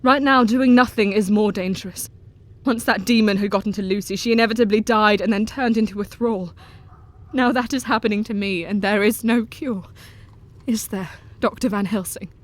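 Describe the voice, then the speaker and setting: A woman's voice: mid-range voice, close to an everyday voice. An actress playing a young woman under a vampire's spell, speaking earnestly in an audio drama. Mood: resolute. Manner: earnest, halting when entranced. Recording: audible background hiss.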